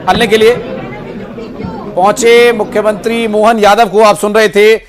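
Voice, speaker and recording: A man's voice: sits high, level tone, a little slow, crowd noise. A man presenting news, recapping and explaining government decisions in long, wordy sentences.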